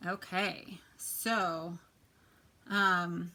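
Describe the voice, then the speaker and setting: A soft-spoken woman with a quiet voice, chatting casually as she gives a tarot reading, often dropping to a near whisper. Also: fairly low pitch, flat delivery, drawn-out words. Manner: chatty, many fillers, drifts to near-whisper. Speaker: tarot reader. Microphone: quiet home recording.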